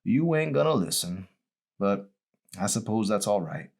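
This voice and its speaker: A man voicing an old, dying male hospital patient in an audiobook, with a raspy voice and folksy, wistful manner. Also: fairly low pitch, sing-song, unhurried, darkish tone, pitched above the performer's own voice, full character voice. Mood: wistful, bitter. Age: older. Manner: colloquial, folksy, wistful preaching.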